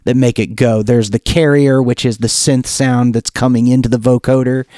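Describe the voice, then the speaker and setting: A man with a mid-pitched voice walks through software in a casual tutorial style. He talks informally, thinking aloud, and the sound is close and dry. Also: level tone, moderate pace, no room sound. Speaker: music producer demonstrating software.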